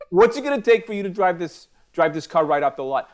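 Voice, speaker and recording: Woman in online improv, fast pushy sales talk, lively. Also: lowish voice. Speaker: improv performer. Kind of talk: improvised comedy scene. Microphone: video call.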